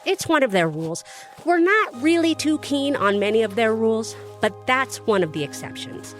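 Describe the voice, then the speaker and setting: An actress plays a streetwise vampire woman guiding a newcomer in an audio drama. Her voice is fairly high and swings in pitch, with faint music behind it. She is informal, frank and protective. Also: sing-song, moderate pace. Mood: reassuring, frank. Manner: informal, reassuring street talk.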